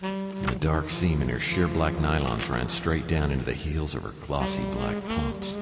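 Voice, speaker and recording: An actor playing a wry, world-weary private detective in a noir radio drama, narrating in a deadpan, sardonic way. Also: male voice, a bass voice, moderate pace, exaggerated voice. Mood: wry, intent. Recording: roomy long reverb under loud music.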